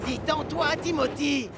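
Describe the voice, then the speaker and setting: An actor voicing mischievous, boyish twin tank engines in a French cartoon dub, teasing and boastful. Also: sits high. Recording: music behind the voice.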